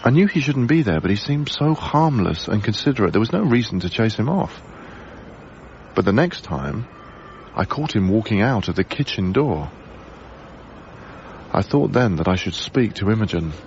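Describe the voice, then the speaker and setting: Mild, animated young male lodger. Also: on the low side, moderate pace, vocal fry, pauses often, highs cut off, slight background noise. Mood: reflective. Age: young adult. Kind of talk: naturalistic radio drama acting and first-person narration.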